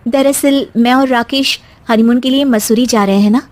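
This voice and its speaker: A woman's voice: fairly high pitch, fairly even intonation, moderate pace, darkish tone, close to an everyday voice. A woman voicing a young newlywed daughter-in-law in a family tale, polite but pointed and firm.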